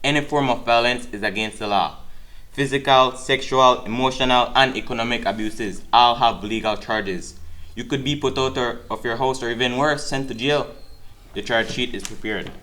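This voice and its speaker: A man's voice: medium pitch, normal speed, sharp tone, close to an everyday voice. A man playing a firm, formal, reproachful police officer in a dramatized scene. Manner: formal, lecturing.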